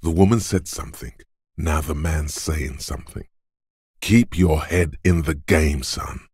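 A rumbling, very deep macho coach. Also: male voice, measured pace, darkish tone, no echo, clean studio sound, heavily acted. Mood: gruff, steady. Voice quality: gravelly, husky. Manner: commanding, taunting, blunt. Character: gruff, macho drill-coach inner voice of physical strength. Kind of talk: video game voice acting.

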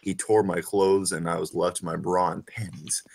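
Low, creaky-voiced man reading casually. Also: level tone, normal speed, darkish tone. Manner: informal, stumbles over the text. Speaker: reader of fan fiction over gameplay. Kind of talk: amateur story reading with casual asides.

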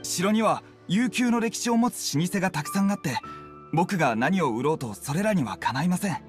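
Eager, high-voiced young vendor. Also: male voice, expressive, fairly quick, faint music behind. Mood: eager, proud. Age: young adult. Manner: polite, earnest salesman. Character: eager, deferential young male street food vendor. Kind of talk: anime-style video game dialogue acting.

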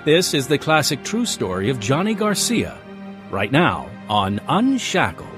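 Male radio drama host, scripted narration, lively swings in pitch, music underneath. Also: a little slow. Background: music behind the voice.

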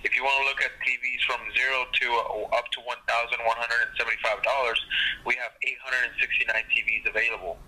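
Bright-voiced man on customer support, noisy line. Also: mid-range voice, level tone, fast. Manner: polite, hesitant. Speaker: customer service representative.

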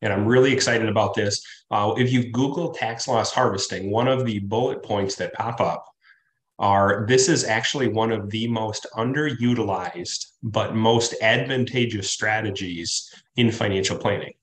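A man's voice: fairly low pitch, moderate pace. A man with a cold-stuffed voice, a financial planner giving a conversational webinar full of "right?" and "uh". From Midwest US. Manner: conversational, frequent "right?" and "uh" fillers. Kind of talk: webinar presentation. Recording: roomy office echo.

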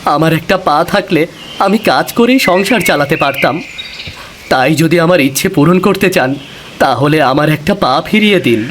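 A man's voice: fairly high pitch, lively intonation, normal speed, a bit bright, slight background noise. A man voicing a poor young village man, sorrowful and earnest, with a plaintive, pleading way of talking. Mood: anguished, pleading.

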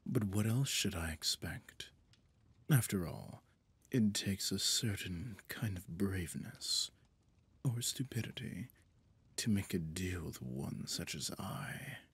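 A suave, possessive devil, played by a man for roleplay audio, speaks in a deep, breathy voice right up on a dry microphone. He takes his time, drawing out phrases with pompous, theatrical condescension and sinking often into near-whispers. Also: lively intonation, slow pace, dry recording, exaggerated voice. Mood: smug welcome. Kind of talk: roleplay audio drama, ASMR-like monologue. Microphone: close, dry studio microphone.

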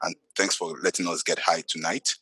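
A man's voice: level tone, fairly quick, husky, no echo. A middle-aged man co-hosting a casual video-call interview, teasing and joking with his guest. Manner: teasing, laughs a lot, gets loud and high when joking. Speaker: co-host. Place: indoor room at home. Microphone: headset on a video call.

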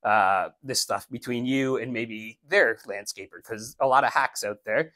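A man's voice: mid-range voice, measured pace, no room sound. A man in his forties who runs a landscaping business, giving a casual how-to talk to camera, blunt and practical, on a lapel mic in a small studio. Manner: blunt, practical, animated gestures while talking. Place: indoor studio, plain backdrop.

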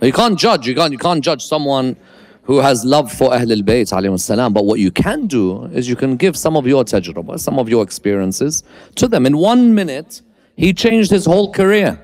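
A man's voice: medium pitch, quick pace. A man in his thirties judging a talent show, speaking emphatically from a theatre desk. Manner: energetic, emphatic speech. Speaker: judge.

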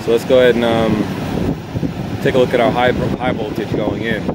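A young man in his twenties, an HVAC technician, explaining a repair step by step on a rooftop in a relaxed way, with many fillers. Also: mid-range voice, normal speed. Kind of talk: on-the-job teaching. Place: rooftop outdoors beside running equipment. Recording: loud machine noise outdoors.

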